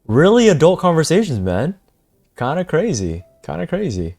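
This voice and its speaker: Casting: male game streamer, casual and slangy, very animated intonation, game music behind. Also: fairly high pitch, moderate pace, creaky. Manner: slangy, expressive. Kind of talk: casual live reaction commentary.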